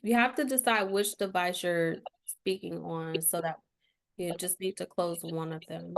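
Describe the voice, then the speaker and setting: Lady hosting an online meetup, casual, lowish voice with lots of fry. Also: fairly even intonation, unhurried. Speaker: host of author meet and greet. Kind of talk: casual hosting of a virtual panel.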